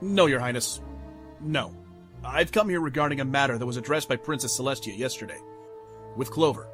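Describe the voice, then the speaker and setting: A man's voice: mid-range voice, a little fast, a bit bright, close to an everyday voice. A man voicing an aggrieved, lovestruck unicorn stallion who defies royal law, formal and impassioned, in a fan audio drama. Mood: subdued, formal. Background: music.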